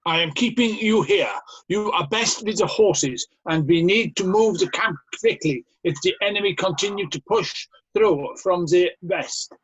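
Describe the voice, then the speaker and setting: Casting: commanding wartime camp officer read by a man, high husky voice, measured delivery, slightly muffled audio. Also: fairly bright tone, exaggerated voice. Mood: curt, firm. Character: brusque commanding German army camp officer. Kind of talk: amateur screenplay read-through.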